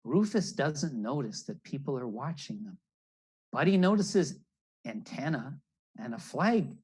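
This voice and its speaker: A man's voice: mid-range voice, darkish tone, slightly rough, low-quality recording. An older man reading a children's picture story aloud and explaining it as a naturalist, recorded indoors on a video call. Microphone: video call computer microphone.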